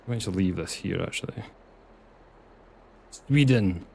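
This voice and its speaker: A man's voice: low voice, lively intonation, a little slow, creaky, darkish tone, slight background noise. A young Scottish man from Scotland streaming a farming game, chatting casually with viewers and muttering at the game. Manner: chatty, reads chat aloud and mutters at the game. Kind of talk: casual live gameplay chat.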